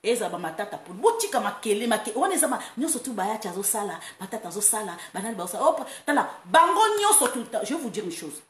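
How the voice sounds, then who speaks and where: A woman from France hosting a casual online monologue, animated and quick to laugh, breaking into playful chants. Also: mid-range voice. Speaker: online talk host. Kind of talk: casual monologue, mixing Lingala and French. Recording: roomy echo, faint background noise.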